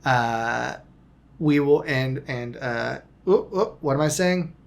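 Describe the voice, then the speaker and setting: A man's voice: mid-range voice, takes it slowly, gravelly. A man hosting a casual soccer podcast, rambling with frequent restarts and self-corrections. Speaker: podcast host. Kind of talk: casual sports podcast chat.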